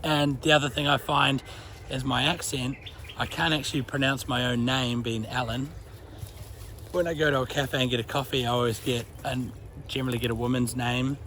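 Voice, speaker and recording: A man's voice: medium pitch, normal speed, slight background noise. A young man with a New Zealand accent, chatting casually in a vlog while walking his dog by a creek. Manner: chatty, relaxed, uses Kiwi slang. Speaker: vlogger. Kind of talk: casual walk-and-talk vlog.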